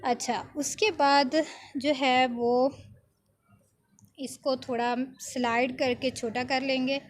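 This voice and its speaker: A woman giving an informal software tutorial, explaining step by step with frequent check-in tags. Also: high-pitched, little pitch movement, takes it slowly. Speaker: video editing instructor.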